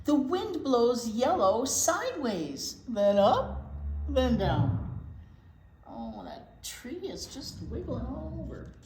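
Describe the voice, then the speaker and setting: An American woman reading a picture book aloud to young children, drawing out words expressively and adding chatty asides. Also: on the high side. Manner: expressive, drawn-out storytelling. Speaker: children's storytime teacher. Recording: echoey room with clear background noise.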